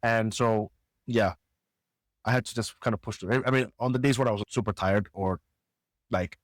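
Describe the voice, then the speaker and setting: A man's voice: lowish voice, fairly even intonation, moderate pace, no room sound. A man hosting a podcast, chatting casually and agreeably with many short affirmations. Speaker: podcast host. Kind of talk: casual interview chat.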